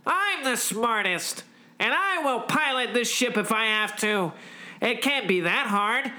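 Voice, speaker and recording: Pompous male alien commander, very high and piercingly sharp, vain and bullying, comic sci-fi story. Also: lively intonation, unhurried, full character voice. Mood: imperious. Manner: pompous, imperious, declamatory.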